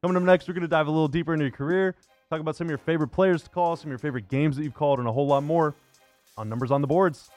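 A man's voice: on the high side, very fast, a little mellow, faint music behind. A man hosting a sports radio show, speaking in a conversational interview style into a close microphone. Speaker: podcast and radio show host.

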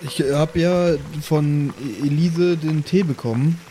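A man's voice: a little high, a little slow, mellow, slight background noise. A man chatting casually as a player in an improvised tabletop roleplaying game. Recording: music behind the voice. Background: background music.

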